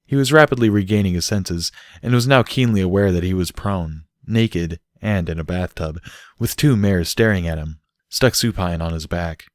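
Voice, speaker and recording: A man's voice: low voice, moderate pace, no room sound, studio quality. A man reading a story aloud as scripted audiobook narration. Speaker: audiobook reader.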